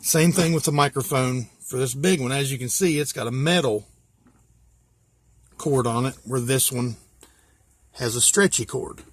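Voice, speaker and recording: A man's voice: medium pitch, measured pace, pauses often. An American man giving a casual hands-on walkthrough as a hobbyist, explaining things step by step in a plain-spoken, folksy way. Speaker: hobbyist presenter.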